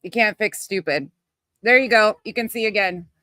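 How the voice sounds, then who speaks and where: A woman's voice: mid-range voice, normal speed, sharp tone. A woman hosting a live reaction stream, with a blunt, combative, sweary way of talking. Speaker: livestream host.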